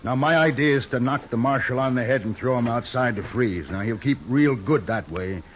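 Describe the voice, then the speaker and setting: An actor playing a brutal, domineering outlaw in a 1950s American radio western, with a rustic drawl and menacing manner. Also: male voice, mid-range voice, moderate pace, natural voice. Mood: cold scheming. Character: cold-blooded brutal male outlaw bossing a captive marshal. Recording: dull old radio recording, faint hiss.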